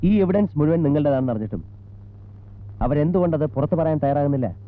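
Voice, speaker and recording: An actor playing a persistent, businesslike police investigator in a film drama, putting clipped, probing questions in a Malayali accent. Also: male voice, fairly high pitch, animated, fast, close to an everyday voice. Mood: stern, insistent. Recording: tinny band-limited old film soundtrack.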